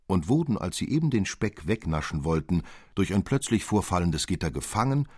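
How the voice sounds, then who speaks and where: A man reads a classic fairy tale with dramatic, articulate expression. His voice is deep and slightly husky, recorded dry and close. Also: darkish tone, no echo. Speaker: narrator, audiobook reader.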